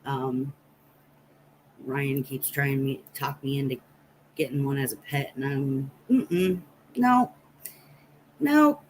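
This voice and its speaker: Slow-paced American woman with low-key storytelling. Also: a little hiss or hum, low-quality recording. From Nebraska, United States. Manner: rambling, anecdotal, chatting with viewers. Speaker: livestream host. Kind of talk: casual storytelling chat on a livestream.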